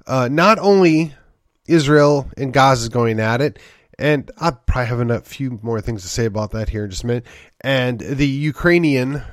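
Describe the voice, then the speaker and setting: A man's voice: medium pitch, no echo, studio quality. A man hosting a solo political commentary podcast, congested from a sinus infection, rambling and wry with sighs and short laughs. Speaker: podcast host.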